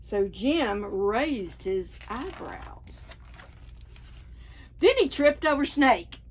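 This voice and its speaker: A woman's voice: fairly high pitch, slight background noise. A woman hosting a preschool storytime, reading picture books expressively and putting questions to the young listeners. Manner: expressive, unhurried, asking the children questions. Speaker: children's library storytime host. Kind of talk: picture-book read-aloud for preschoolers.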